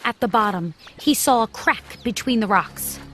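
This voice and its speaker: A woman's voice: a little high, animated, normal speed. A woman narrating a scripted children's story as the storyteller. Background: clear background music.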